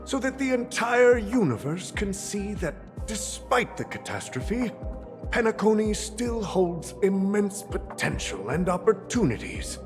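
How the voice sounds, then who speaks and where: A man's voice: high voice, very animated, unhurried, big-room sound, over music. An actor playing a shrewd, jovial old family boss in a video game, grandiose and chuckling in his condescension. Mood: gloating. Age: older. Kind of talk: theatrical video game acting.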